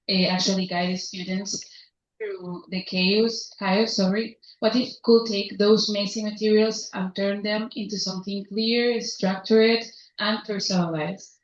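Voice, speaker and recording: A young woman from Spain, a student presenting at a conference who pauses and corrects herself. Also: mid-range voice. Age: young adult. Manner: halting, stops to correct herself. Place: conference hall. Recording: echoey hall, distant muffled mic.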